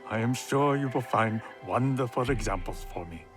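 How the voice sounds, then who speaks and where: An actor playing a serene, wise tea master mentor in a video game, measured and philosophical. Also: male voice, mid-range voice, soft background music, close to an everyday voice. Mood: calm. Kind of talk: video game cutscene acting.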